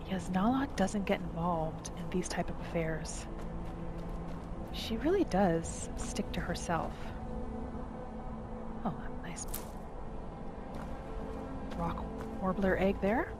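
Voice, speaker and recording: Slow, soothing woman narrating gameplay. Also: lowish voice. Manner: gentle, soothing, unhurried. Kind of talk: soft relaxing roleplay narration. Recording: voice over loud game music.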